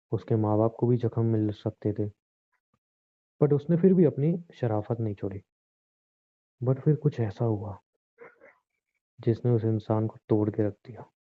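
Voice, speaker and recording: A man's voice: on the low side, lightly acted. A man playing a bitter, controlling young husband in a staged call drama, menacing and commanding. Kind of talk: scripted domestic drama. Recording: tinny phone-line recording.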